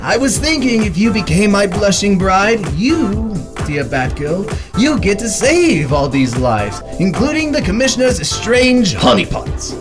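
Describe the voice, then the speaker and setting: Psychopathic clown villain, gleeful, mock-affectionate, wildly animated pitch, radio drama, music behind. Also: male voice, a little slow, hardly any pauses. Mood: wheedling, grandiose.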